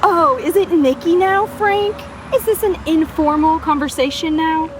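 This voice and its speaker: A woman playing a sharp, defiant young researcher in a horror audio drama, speaking formally, directly and challengingly. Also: very high-pitched, measured pace, noisy background. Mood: sardonic, challenging.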